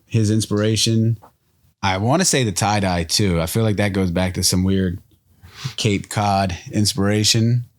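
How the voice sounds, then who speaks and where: A young man co-hosting a podcast in casual chat, energetic and storytelling, often laughing. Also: on the low side, normal speed. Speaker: podcast co-host.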